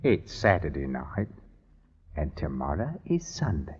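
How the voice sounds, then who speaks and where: An actor playing a simple, quietly menacing jailed man in a 1950s radio drama, talking in a deliberate, insinuating way. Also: a bass voice, huge pitch swings, husky, heavily acted. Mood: quiet menace. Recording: dull lo-fi 1950s radio recording.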